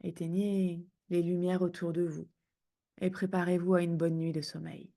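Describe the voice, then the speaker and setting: A woman guiding a sleep meditation in a soothing, hushed manner, speaking in unhurried phrases with pauses. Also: lowish voice, level tone, creaky. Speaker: yoga nidra guide.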